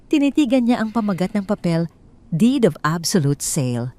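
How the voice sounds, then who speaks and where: A woman's voice: mid-range voice, sing-song, moderate pace, dark tone. A woman narrating a scripted audio drama story in a dramatic storytelling style.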